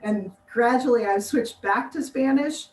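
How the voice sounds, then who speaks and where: A woman's voice: on the high side, measured pace, darkish tone. American woman, scientist guest on a video call, chatty and laugh-prone; roomy, distant pickup. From United States. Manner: chatty, laughs often, acts out quotes. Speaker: biologist guest. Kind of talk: casual interview answers. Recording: distant roomy mic with faint noise.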